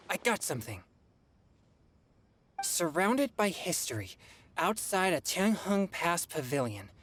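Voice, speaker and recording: A lively-toned, modest teenage boy. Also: medium pitch, breathy edge, full character voice. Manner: earnest, unassuming, thoughtful. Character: modest, earnest teenage boy adventurer reciting verse. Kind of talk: animated game voice acting. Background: music.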